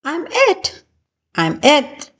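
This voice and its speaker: A woman's voice: high voice, wildly swooping pitch, extremely slow, fairly bright tone, voice pushed up, exaggerated voice. A woman voicing a playful, eager little girl in a children's storybook, with a sing-song manner. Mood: excited.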